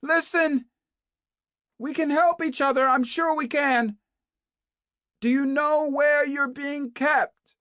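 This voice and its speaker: A narrator voicing a desperate captive woman doctor who shouts frantically for help. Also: sits high, steady tone, slow, long pauses, dry recording, studio quality, pitched above the performer's own voice. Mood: pleading.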